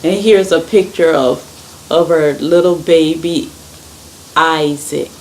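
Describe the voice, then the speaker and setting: A woman reading a children's story aloud in an expressive, deliberate way. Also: deep voice, slight background noise, poor audio.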